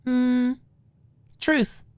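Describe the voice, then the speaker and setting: A woman voicing a bashful, tipsy young woman, wry and gently exasperated, with a sheepish, hesitant manner in an audiobook. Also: high voice, level tone, very slow, clear, resonant tone, dry recording, pitched above the performer's own voice. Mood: pondering. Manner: hesitant, sheepish, mock-scandalized.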